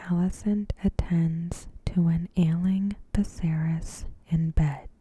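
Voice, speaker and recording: A woman softly whispering a scripted recap in a gentle, hushed, breathy ASMR narration. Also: on the low side, slight background noise, low-quality recording. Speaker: ASMR host.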